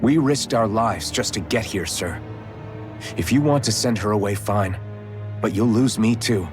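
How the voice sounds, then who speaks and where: An actor playing a determined young hero in a game, firm and blunt, with game music behind. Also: male voice, lowish voice, a little slow, natural voice. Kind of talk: video game voice acting.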